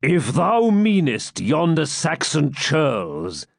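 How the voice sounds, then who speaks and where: A man voicing a cruel, sarcastic baron who speaks sternly and slowly. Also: fairly high pitch, wildly swooping pitch, creaky, no room sound, studio quality, voice pushed up, full character voice. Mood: menacing. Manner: stern, slow, menacing.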